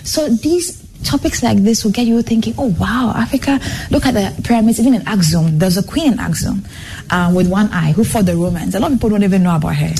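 A young woman guest sharing her own story on a talk show, earnest and animated, her phrases tumbling out with a lot of "like". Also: medium pitch, quick pace, a little hiss or hum, rough recording.

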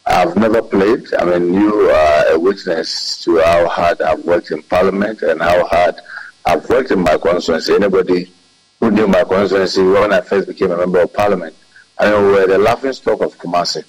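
A middle-aged man, a member of parliament speaking emphatically and slowly, hoarse from losing his voice. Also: low voice, poor audio. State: hoarse, lost voice.

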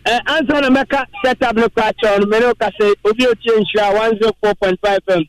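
Ghanaian man, radio presenter, high voice, dry sound, upbeat chatty questions. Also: fairly even intonation, fast, no echo, low-quality recording. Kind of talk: live outdoor radio interviews.

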